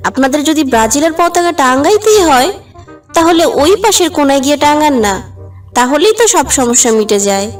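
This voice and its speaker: Woman playing middle-aged cartoon mother, high voice, protective, scolding, sharp-tongued, distant recording. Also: animated, a little fast, soft background music. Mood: indignant. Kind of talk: comic cartoon dub.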